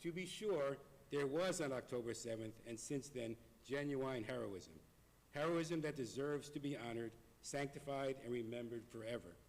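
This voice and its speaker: A hoarse man delivering a prepared, earnest appeal to a congregation in a synagogue. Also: mid-range voice, darkish tone. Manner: earnest, measured, reading from notes. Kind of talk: prepared speech. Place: synagogue sanctuary. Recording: long hall reverb.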